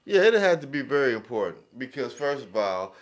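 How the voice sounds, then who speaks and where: A man's voice: mid-range voice, very animated, brisk. A man in a casual, opinionated group chat, speaking emphatically and often checking that listeners follow him. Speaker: podcast panelist.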